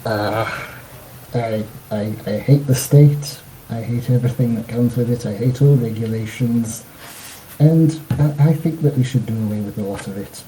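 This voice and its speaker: A man with a very dark, soft-edged voice hosts a debate in a conversational way. He stumbles and repeats words as he goes, with some noise in the recording. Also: medium pitch, moderate pace. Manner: hesitant, stammering with repeated words. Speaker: debate host. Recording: noisy room sound.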